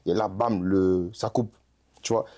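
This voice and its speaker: A young man from Congo chatting in an interview, telling stories in an animated, slangy way and laughing often. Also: sits low, unhurried. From Republic of the Congo. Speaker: rap artist guest. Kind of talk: casual interview chat.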